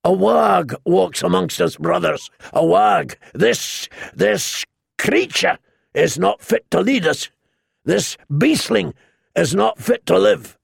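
A man's voice: high-pitched, expressive, takes it slowly, vocal fry, breathy edge, no echo, clean studio sound, voice pushed up, exaggerated voice. A man doing a sputtering pompous old lord. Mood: outraged. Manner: sputtering, outraged.

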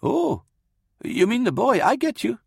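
A man's voice: extremely high pitch, sing-song, measured pace, vocal fry, a little mellow, slightly rough, no room sound, clean studio sound, pitched above the performer's own voice, exaggerated voice. A man voicing a mild, rambling old priest in an audiobook, with a mumbling manner. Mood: gentle, muddled.

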